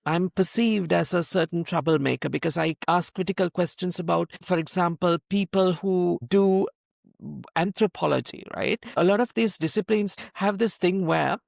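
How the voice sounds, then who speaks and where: A man's voice: high-pitched, normal speed, vocal fry, no room sound, studio quality. A man from Sri Lanka hosting an interview, speaking emphatically and repeating points for stress. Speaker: host. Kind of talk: conversational interview.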